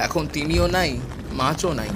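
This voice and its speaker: A man's voice: on the high side, animated, moderate pace, background noise, lightly acted. A man playing an uneasy, deferential village fisherman in a horror story, with a hesitant manner. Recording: music and rain behind voice.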